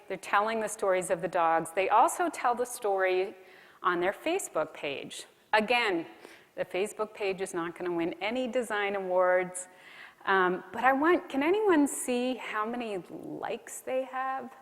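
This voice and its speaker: Woman giving a keynote in a reverberant hall. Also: mid-range voice. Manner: conversational, asks the audience questions. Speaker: keynote speaker. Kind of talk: conference keynote talk on content marketing. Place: large conference hall.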